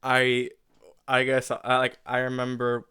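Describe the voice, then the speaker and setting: Male interview host, smooth clean voice, informal and repetitive phrasing, close dry sound. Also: medium pitch, completely flat delivery, a little slow, pushed and bright, no echo. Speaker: host interviewing a collaborator, writer of an audio drama. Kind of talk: casual creator commentary interview.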